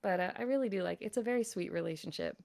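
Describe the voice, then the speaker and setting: A woman's voice: fairly low pitch, racing, gravelly, a little mellow. A woman chatting casually as a voice actor on a panel, appreciative and giggly.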